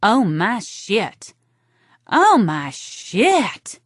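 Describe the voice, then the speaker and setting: A woman's voice: medium pitch, wildly swooping pitch, drawn-out words, no room sound, clean studio sound, voice pushed up, exaggerated voice. A woman voicing a melodramatic, self-pitying young would-be model who is nosy and speaks with theatrical intensity. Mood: stunned, intense.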